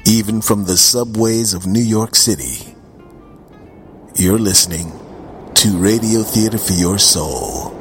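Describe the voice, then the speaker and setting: A man's voice: on the low side, slow, fairly bright tone, soft background music. A man delivering a radio station ident in an emphatic announcer style.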